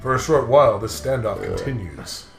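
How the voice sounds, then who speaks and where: A commentator reading a visual novel aloud with casual, jokey banter in an animated manner. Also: medium pitch, normal speed. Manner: animated, jokey, breaks into laughter often. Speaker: let's play commentator. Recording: roomy echo, music behind the voice.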